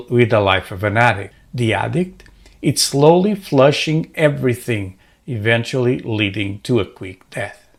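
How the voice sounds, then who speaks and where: A man in his sixties from Portugal, narrating his own book in an earnest, measured way as personal testimony. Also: mid-range voice.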